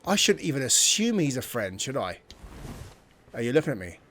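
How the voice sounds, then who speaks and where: A man's voice: medium pitch, very animated, moderate pace, fairly bright tone, slight background noise. Man giving casual gaming commentary. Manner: informal, thinking aloud. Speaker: gameplay video host.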